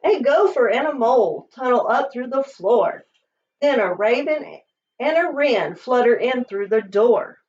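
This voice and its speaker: A woman reading a children's picture book aloud in an expressive, sing-song storytelling manner. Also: fairly high pitch, measured pace. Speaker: storybook reader. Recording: slightly dull, distant microphone.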